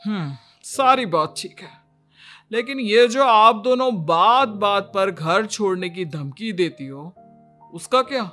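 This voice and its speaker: A man's voice: high voice, lively intonation, takes it slowly, bright, forceful tone, faint music behind, full character voice. A man playing an exasperated, put-upon young Indian husband and son in a cartoon story, with a weary, complaining manner. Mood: stern.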